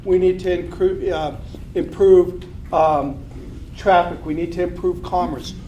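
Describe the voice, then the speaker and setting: A man in his fifties gives an earnest speech to a business gathering, leaning on repeated rallying phrases and frequent ums and you-knows. His voice sits a little high and sounds distant, caught from across an echoey room with a faint hiss underneath. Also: fairly even intonation, takes it slowly, dark tone. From southern Colorado. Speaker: city manager. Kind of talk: public speech to a business meeting. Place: meeting room, unamplified.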